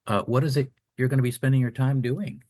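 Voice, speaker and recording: A man's voice: mid-range voice, fairly even intonation, quick pace, a little mellow, no echo, clean studio sound. A man talking casually as co-host of a radio talk show.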